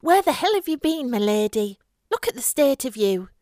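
A woman's voice: high-pitched, big pitch swings, moderate pace, a little mellow, dry recording, clean studio sound, pitched above the performer's own voice. A woman voicing a snobbish, harsh mother in a short story reading. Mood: furious. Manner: shrieking when angry, haughty. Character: snobbish, harsh, angry mother of a young girl.

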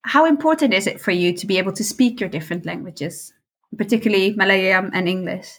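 A woman from the Netherlands interviewing a guest, calmly summing up each answer before her next question. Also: medium pitch, husky. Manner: measured, sums up answers. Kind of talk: podcast interview.

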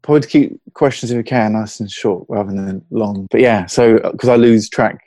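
A man's voice: fairly low pitch, moderate pace, warm tone, no echo, studio quality. A man with a Hertfordshire accent, a podcast guest chatting casually who rambles from one thought to the next and laughs easily. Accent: Hertfordshire English. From England. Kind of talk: casual interview over a call.

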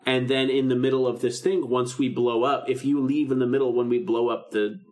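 A man's voice: medium pitch, little pitch movement, a little fast, a little mellow. A man co-hosting a podcast, recapping a story in casual, enthusiastic fan talk. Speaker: podcast co-host. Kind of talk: casual chat, recapping a radio drama.

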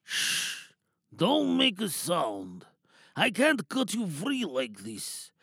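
A man playing a weary, battle-hardened British soldier in a dramatic audio monologue, bitter and hushed. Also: sits high, wildly swooping pitch, drawn-out words, gravelly, slightly rough, no room sound, studio quality, heavily acted. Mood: urgent, cautious.

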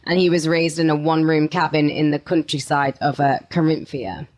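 A woman with a low voice co-hosts a true-crime podcast. She tells the case in a relaxed way and keeps breaking in with playful asides. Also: moderate pace, muffled recording. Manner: laughs often, playful asides. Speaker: podcast co-host.

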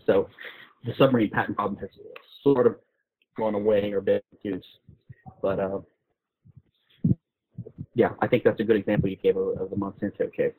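A man's voice: on the low side, flat delivery, normal speed, pauses often, husky. A man explaining as a guest expert in an informal discussion, often repeating and rephrasing his phrases. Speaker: guest expert on patent law. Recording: roomy, phone-like call audio.

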